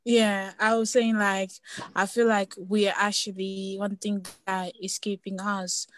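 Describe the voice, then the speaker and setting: A woman sharing an informal opinion in an online discussion, in a halting way. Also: mid-range voice, level tone, poor audio. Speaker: participant in a group discussion.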